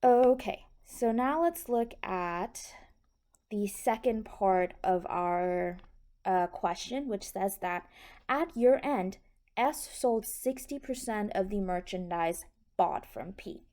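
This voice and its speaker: A woman's voice: medium pitch, animated, slow, mellow. A woman instructor patiently explaining a tutorial step by step, often checking that the listener is following. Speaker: accounting instructor.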